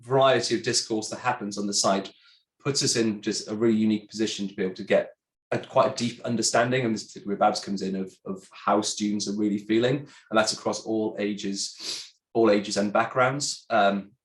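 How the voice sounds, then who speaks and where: A congested man with a chatty, halting manner, a sales director presenting a webinar. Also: lowish voice, fairly even intonation. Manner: chatty, many ums and restarts. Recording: roomy echo.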